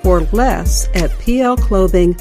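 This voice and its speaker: A woman delivers a scripted advertisement. Her pitch is average and faint music plays underneath. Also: unhurried, dark tone. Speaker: advertisement announcer. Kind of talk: scripted commercial voice-over.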